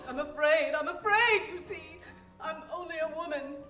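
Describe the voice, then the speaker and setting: A woman's voice: sits high, normal speed, bright, forceful tone, soft background music. A woman playing a meek, hesitant, stammering damsel in distress in an improvised old-time radio parody. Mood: fearful. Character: timid, self-effacing young damsel in distress. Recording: roomy live stage sound.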